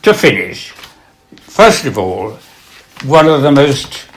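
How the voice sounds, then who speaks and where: An older man reading literary pieces aloud and introducing them informally, with measured delivery and expressive emphasis. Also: medium pitch, slight background noise. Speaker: reader of poetry and quotations.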